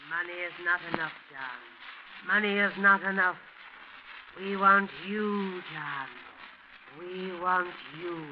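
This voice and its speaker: A woman playing a menacing ghostly mother in 1940s radio drama, speaking in a drawn-out, insistent way on an old recording. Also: fairly low pitch, muffled recording, slight background noise. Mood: eerie, insistent. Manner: slow, drawn-out, insistent repetition. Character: menacing ghostly mother, a sinister greedy wife.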